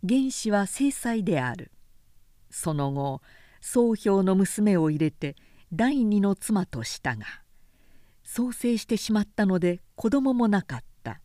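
A woman reading a historical novel aloud as a narrator, in a measured, deliberate storytelling manner. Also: medium pitch, big pitch swings, dark tone, dry recording, studio quality. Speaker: narrator, literary reader.

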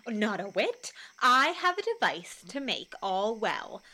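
Boastful weaver and would-be actor played by a woman, high, lively, forceful voice, Shakespeare radio comedy. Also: slow, full character voice. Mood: self-assured. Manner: theatrical, self-important, emphatic. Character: Bottom, bossy, boastful amateur-actor weaver, male. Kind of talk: Shakespeare radio play acting.

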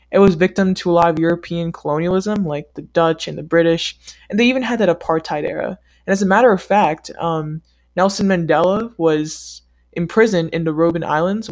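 A man talking straight to his audience in a relaxed vlog. His delivery is upbeat and chatty, loose and conversational, with casual slang. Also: on the high side. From United States. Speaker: vlogger. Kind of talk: casual scripted monologue ranking countries.

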